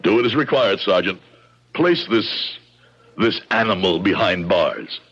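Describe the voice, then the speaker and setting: A dull old radio drama recording of a principled immigrant shoemaker. His voice is low, and he speaks formally and deliberately, with stubborn, indignant pride. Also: animated. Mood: indignant, resolute.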